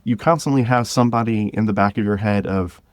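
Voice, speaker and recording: Guy on a podcast, kinda low voice, dry sound, chatting casually, stops and starts, into it. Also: fast, dry recording. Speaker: podcast guest, drama creator. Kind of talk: casual interview chat.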